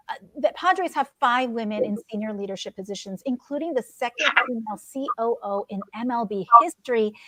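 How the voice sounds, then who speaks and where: A woman hosting a business talk show, moderating a panel with a brisk, upbeat manner. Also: medium pitch, fairly bright tone. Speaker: host.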